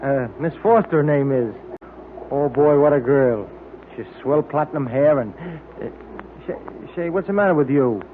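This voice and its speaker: Easygoing detective's pal played by a man, chummy and informal, slightly high voice, vintage radio acting, thin noisy audio. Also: lively intonation, moderate pace, lightly acted. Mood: breezy. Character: breezy, easygoing young male detective's sidekick. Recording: old tinny noisy radio recording.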